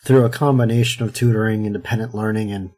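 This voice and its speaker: A man's voice: on the low side, steady tone, fast, a little mellow. A man in his thirties co-hosting a podcast, joking around and then going through background facts. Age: 30s. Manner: goofy, joking. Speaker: podcast co-host. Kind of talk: casual chat and reading history.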